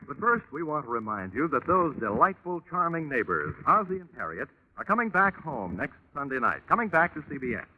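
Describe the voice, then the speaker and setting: A man's voice: on the high side, sing-song, a little fast, hardly any pauses, phone-quality sound. A man with an American accent announcing in old-time radio style, with a polished, upbeat sales delivery. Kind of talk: 1940s-50s radio announcing, scripted promotion.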